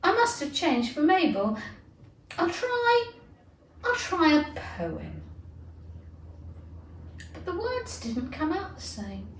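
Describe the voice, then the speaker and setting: A woman's voice: high voice, huge pitch swings, slow, pauses often, roomy sound, recorded from a distance, slight background noise, voice pushed up, full character voice, poor audio. A woman reading a storybook as a tearful, fretful little girl who talks to herself in a plaintive, dramatic way. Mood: confused, anxious.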